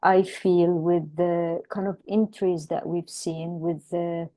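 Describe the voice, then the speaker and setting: A woman's voice: fairly low pitch, little pitch movement, takes it slowly, warm tone. A woman from Palestine giving formal thanks and remarks as a member of a jury.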